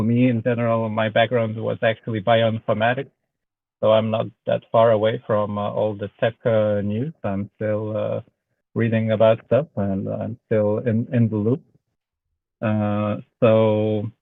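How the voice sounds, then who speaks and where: A man from Lithuania speaking English with a Lithuanian accent, a guest artist chatting casually, pausing often with filler sounds. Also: lowish voice, level tone, creaky, no room sound. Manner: hesitant, many filler sounds. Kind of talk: casual chat. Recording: tinny phone-like online call audio.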